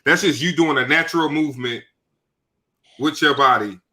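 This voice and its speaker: Man, podcast co-host, bright forceful tone, slightly high, rambling, slangy. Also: moderate pace. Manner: loose, rambling, slangy, many restarts. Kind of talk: casual chat.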